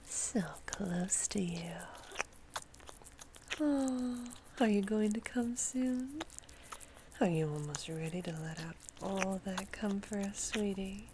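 A woman in sexually explicit adult ASMR audio, moving between breathy whispers and a soft, cooing, soothing voice. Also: mid-range voice, background noise.

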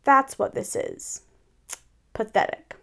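A woman's voice: slow pace, pushed and bright. A woman voicing a gloomy, self-pitying old male donkey from a storybook, with a mournful, sighing, sarcastic delivery.

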